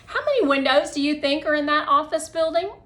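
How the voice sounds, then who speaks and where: A boisterous schoolboy from a storybook , acted out by a woman reader. He is loud and raised in pitch, always joking and thinking of food. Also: expressive, a little fast. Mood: shouting, curious. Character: hungry, boisterous, joking schoolboy on quiz team.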